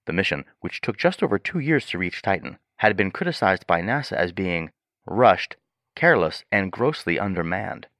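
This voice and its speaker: An actor playing a sober news reporter, delivering a measured, scripted report on a space mission. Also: male voice, deep voice, sing-song, a bit bright, no room sound, clean studio sound, full character voice. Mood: grave, measured.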